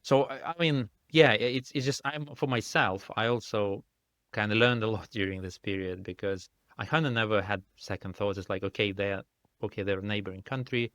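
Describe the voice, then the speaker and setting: A man from Russia whose first language is Russian, speaking English in a thoughtful, halting way with many restarts as an indie game developer guest. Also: fairly low pitch, steady tone, fairly bright tone, dry recording, studio quality. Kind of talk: casual interview conversation. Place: lives in the UK.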